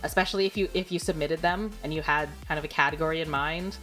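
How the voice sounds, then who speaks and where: A woman's voice: low-pitched, steady tone, fast, fairly bright tone, faint music behind. A young adult woman streaming, chatting casually and rambling with lots of fillers and giggles. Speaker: VTuber streamer. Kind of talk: casual livestream chat.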